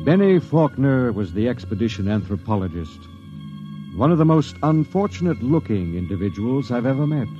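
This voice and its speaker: A man's voice: medium pitch, sing-song, normal speed, mellow, natural voice. Casting: male actor for composed, commanding space captain, clipped and grave, mid-century radio play sound, muffled. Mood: grave, recounting. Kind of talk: mid-century radio drama acting and first-person narration. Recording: dull vintage radio recording with music behind.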